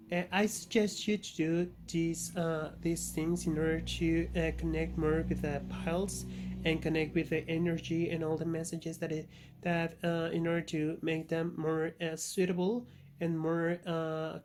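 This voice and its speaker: A man's voice: on the high side, a little mellow. A man who is a native Spanish speaker, with a Spanish accent, informally hosting an oracle card reading and often searching for words. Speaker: tarot and oracle reader, channel host.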